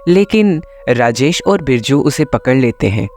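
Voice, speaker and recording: A man's voice: medium pitch, lively intonation, unhurried, a little mellow. A man reading scripted story narration as the storyteller. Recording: music behind the voice. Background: background music.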